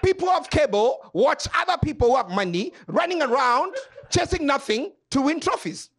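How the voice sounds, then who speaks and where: A man from Kenya doing stand-up comedy on a club stage, loud and animated, joking with the crowd. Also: very high voice, normal speed.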